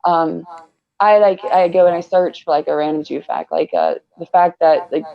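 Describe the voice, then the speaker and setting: A woman calling into a casual livestream chat, halting and heavy with fillers. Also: lowish voice, creaky, dull audio. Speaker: livestream guest.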